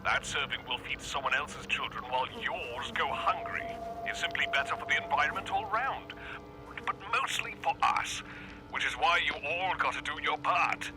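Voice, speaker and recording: An actor playing a smug, callous, ultra-rich tycoon who speaks in a pompous, condescending, theatrical way, as if interviewed on satirical radio. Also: male voice, fairly high pitch, moderate pace, lightly acted, poor audio. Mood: haughty, boastful. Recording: music behind the voice.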